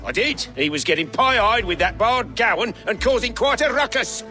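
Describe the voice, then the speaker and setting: Cheeky high-voiced mocking commoner. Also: male voice, lively intonation, moderate pace, bright, forceful tone, soft background music. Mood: smug, taunting. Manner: taunting, sing-song mockery. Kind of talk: video game character acting.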